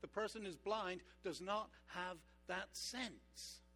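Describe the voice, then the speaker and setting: A man preaching a sermon as pastor, engaging his congregation with rhetorical questions and slowing down for emphasis. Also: sits high, gravelly, pushed and bright, soft background music. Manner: slows down for emphatic points.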